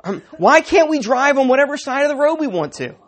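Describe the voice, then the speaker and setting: A man preaching a sermon as pastor, with a sniffly, slightly raspy voice, talking conversationally, chuckling and often asking the congregation "right?". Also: extremely high pitch, sing-song, a little fast.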